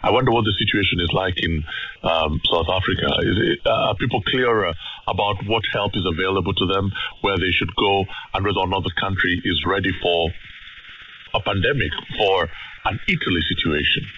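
A man's voice: low voice, brisk. A male radio presenter conducting a live interview, heard over shortwave radio. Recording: muffled, very noisy shortwave radio reception.

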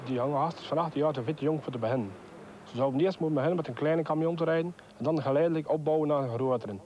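A man's voice: a little high, racing, mellow, muffled recording. A young Flemish truck driver in his twenties speaking casually and colloquially.

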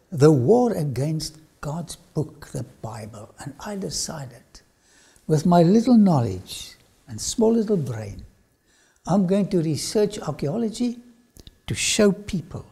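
Older Afrikaans-accented man, lively storyteller. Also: fairly high pitch, gravelly, husky. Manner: animated, dramatic swings from loud to whisper. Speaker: archaeology lecturer. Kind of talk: personal storytelling to camera. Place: studio with dark backdrop.

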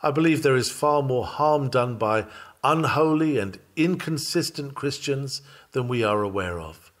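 A man narrates a written sermon with a solemn, measured delivery. His voice sits at a medium pitch. He declaims each phrase deliberately, as a scripted religious reading. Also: lively intonation.

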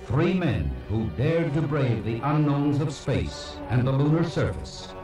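A man reads scripted documentary narration for a slide show in a measured, formal, solemn way, played back from a cassette. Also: mid-range voice, very animated. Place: played from a cassette, heard in a room. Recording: echoey, distant playback of cassette tape. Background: music.

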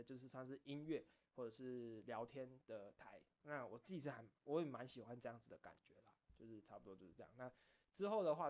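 A man with a Taiwanese Mandarin accent and a mid-pitched voice hosts a casual late-night chat for his online audience. He rambles along informally, often doubling back to repeat or correct his phrases. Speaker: online video creator and host.

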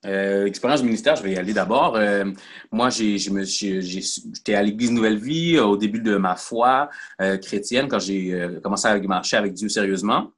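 A man in his thirties from Montreal with a Quebec French accent, speaking haltingly in a casual video-call interview from a room at home. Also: on the low side, a bit bright, breathy edge. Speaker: incoming church staff member. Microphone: laptop camera on a video call.

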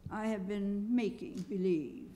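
Woman playing a meek, hushed little old wizard. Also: on the low side, lively intonation, normal speed, lightly acted. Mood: meek, confessing. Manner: hesitant, kindly, evasive. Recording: long hall reverb, clear background noise.

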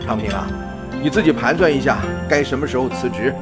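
An actor playing a serious male elder in a radio drama, weighty and deliberate while pressed. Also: medium pitch, natural voice. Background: music.

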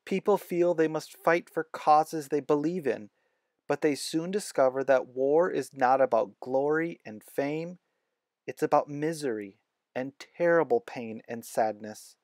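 A man's voice: on the high side, a little slow, a little mellow, dry recording, studio quality, lightly acted. A man voicing a wise, solemn, kindly woman war nurse in a children's storybook. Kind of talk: children's storybook read-aloud.

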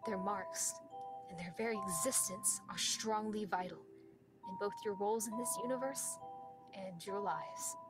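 A woman's voice: medium pitch, moderate pace, close to an everyday voice. A woman playing a solemn, motherly psychic creature guardian with a formal, grave manner in a fan comic dub. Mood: grave, urgent. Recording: loud music under the voice.

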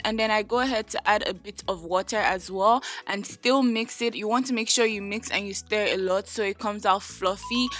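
A woman's voice: mid-range voice, pushed and bright. A young woman talking through a cooking vlog in her home kitchen, chatty and sassy with playful asides. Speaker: lifestyle vlogger. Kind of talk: casual cooking vlog with voice-over.

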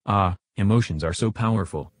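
A man plays an earnest chatbot companion for a podcast, agreeable and curious. The voice sits low, with a measured manner and a dry, close sound. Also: husky, no room sound.

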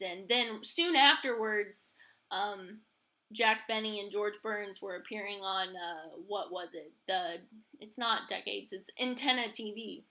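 A young woman hosting a podcast in casual conversation, informal and a bit halting, with plenty of "like" and "kinda". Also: medium pitch, sharp tone. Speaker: podcast host. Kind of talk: casual chat.

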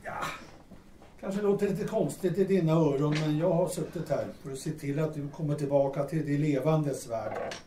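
A man's voice: on the high side, warm tone, natural voice. An actor in an audio drama playing a patient, dry-humoured male friend. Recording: roomy, distant mic, faint noise.

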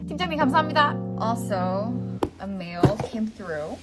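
A woman's voice: on the high side, very fast, over loud music. A young woman in her twenties chatting casually to her phone camera as she goes about her week, dropping in Korean words. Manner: chatty, excitable, mixes in Korean phrases. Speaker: vlogger. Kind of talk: casual vlog narration. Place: various indoor spots, cafe, car, home. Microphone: handheld phone camera.

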